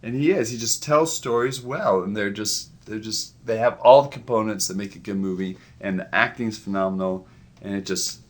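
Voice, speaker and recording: A man's voice: on the low side, moderate pace. A man co-hosting a family movie review, chatting casually and chuckling as he plays along with jokes. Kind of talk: casual joking chat.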